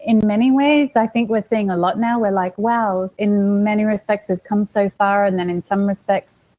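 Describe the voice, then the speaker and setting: A woman with an Australian accent chatting casually as an interview guest, often pausing mid-thought as she talks. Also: medium pitch, fairly even intonation, warm tone, poor audio. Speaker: interview guest, actress and producer.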